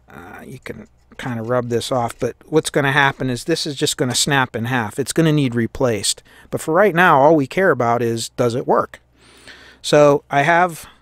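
An American man talks through hands-on technical work in an informal, plain-spoken way. His pitch sits in the middle range for a man. He explains each step as he goes, and the sound is dry, with little room echo. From United States. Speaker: host of a radio repair tutorial. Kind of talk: hands-on tutorial, explaining as he works. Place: indoors at a workbench.

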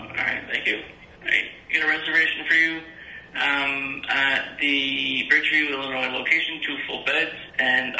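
A man's voice: mid-range voice, sharp tone, echoey room, a little hiss or hum, low-quality recording. A man taking a phone booking as a reservations agent, polite, apologetic and hesitant. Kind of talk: customer service phone call.

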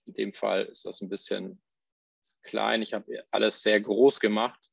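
Gravelly man giving a software demo. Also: fairly low pitch, little pitch movement, unhurried, no echo, lo-fi sound. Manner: explains step by step while clicking, fillers and restarts. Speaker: software presenter. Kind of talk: live software demo talk.

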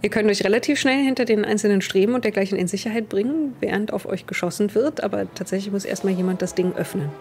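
Female game master, young, medium-pitched, descriptive storyteller, music underneath. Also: fast talker, hardly any pauses, darkish tone. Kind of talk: narrating a tabletop role-playing game.

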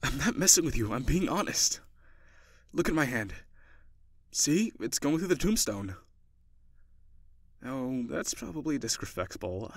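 A man playing a friendly, lonely ghost in an improvised audio roleplay, talking gently and hesitantly, as if to one listener. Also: mid-range voice, big pitch swings, a little slow, long pauses, no echo, studio quality. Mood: earnest, apologetic. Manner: hesitant, conversational, soft-hearted.

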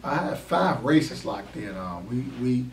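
A hoarse man in his forties from the Chicago area, a drag racer answering interview questions with many fillers. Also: mid-range voice. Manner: halting, many fillers, flat delivery. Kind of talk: casual interview. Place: small studio office. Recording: echoey room, mic far off, faint noise.

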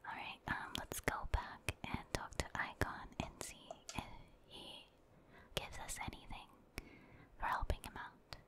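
Female gaming ASMR host: fully whispered, breathy delivery, casual rambling commentary as she plays. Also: unhurried. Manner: casual, rambling, thinking aloud.

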